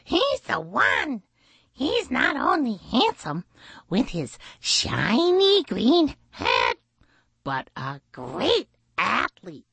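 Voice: medium pitch, gravelly, pushed and bright, husky, no room sound, voice pushed up, heavily acted. A woman voicing a proud, chirpy blue jay messenger who brags in a children's storybook. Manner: bragging, chirpy.

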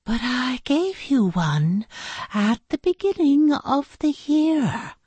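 A woman's voice: high-pitched, very animated, slow, dark tone, breathy edge, no echo, pitched above the performer's own voice, heavily acted. A woman reading a storybook aloud, voicing a stern schoolteacher who is exasperated and sometimes drops to a tense whisper. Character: stern woman schoolteacher fussing over paperclips.

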